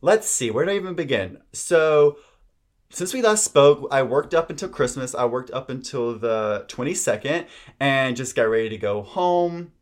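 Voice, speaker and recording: A man from South Georgia talks casually on a podcast. He is upbeat and teasing as he recounts his holidays. His pitch sits in the middle. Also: expressive, normal speed. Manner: upbeat, teasing, laughs often. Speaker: podcast co-host. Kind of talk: casual chat.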